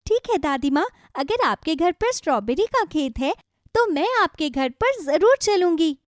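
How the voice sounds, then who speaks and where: Woman acting little girl, very high pitch, animated, kind, polite, eager, storybook. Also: measured pace, dry recording, studio quality, heavily acted. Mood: eager, delighted. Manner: earnest, polite, childlike.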